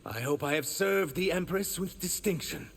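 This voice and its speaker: An actor playing an earnest, dutiful shapeshifting reptilian emissary who carries grief, in video game dialogue with noisy sound. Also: male voice, fairly high pitch, normal speed, vocal fry.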